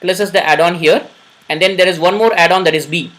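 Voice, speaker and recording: A man's voice: high-pitched, steady tone, fast talker, a bit bright. A man teaching a live online programming class. He repeats each point and often checks that his listeners are following. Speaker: programming instructor.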